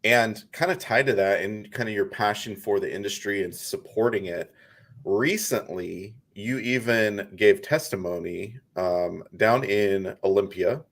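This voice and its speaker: A man's voice: on the low side, unhurried, fairly bright tone. Easygoing man hosting an interview. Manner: easygoing, many fillers. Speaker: podcast host. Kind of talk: casual interview chat.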